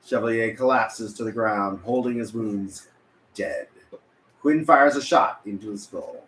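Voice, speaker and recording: A man's voice: fairly low pitch, a little slow, fairly bright tone. A man reading scripted narration as narrator at a table read. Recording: echoey room, faint background noise.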